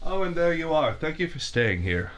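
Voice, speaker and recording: A man's voice: a little high, big pitch swings. A man voicing a spiteful, fussy, laid-off veteran torturer in an ASMR roleplay, with formal, theatrical diction, recorded on a binaural mic. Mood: fussy, clinical. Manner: formal, theatrical villain diction, slow and deliberate. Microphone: 3Dio binaural mic.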